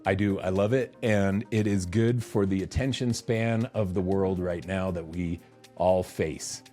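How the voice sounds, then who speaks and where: A man's voice: low voice, steady tone, measured pace, warm tone, soft background music. A man co-hosting a podcast in a studio, chatting casually and joking, often laughing. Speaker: podcast co-host. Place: recording studio.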